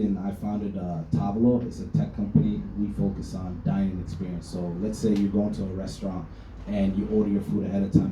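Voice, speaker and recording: A young man, talking in an easygoing, slangy way on a community panel. His voice is very dark and a touch low, caught by a mic at some distance. The sound bounces around a roomy hall with a dull, lo-fi edge. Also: slight background noise. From Minneapolis, USA. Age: young adult. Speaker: panel speaker, tech founder. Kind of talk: casual panel talk. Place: event hall with audience.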